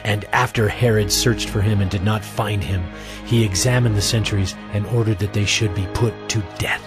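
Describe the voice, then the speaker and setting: A man giving a scripted, solemn and measured narration for an audio drama of scripture. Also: fairly low pitch, fairly even intonation, music in the background.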